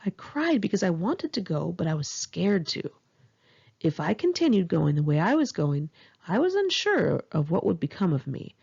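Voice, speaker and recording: Memoir narrator: Californian woman, gravelly and husky, lively intonation, candid conversational storytelling. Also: on the low side, normal speed, dull audio. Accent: Californian American. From Los Angeles area, California, United States. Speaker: author reading own memoir. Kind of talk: narrated memoir audiobook, one-take home reading.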